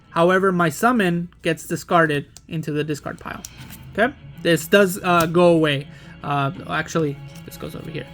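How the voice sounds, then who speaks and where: High-voiced man walking through a game. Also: a bit bright. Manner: explanatory, step by step, checking with "okay?". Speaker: host demonstrating a card game. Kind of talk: casual tutorial walkthrough.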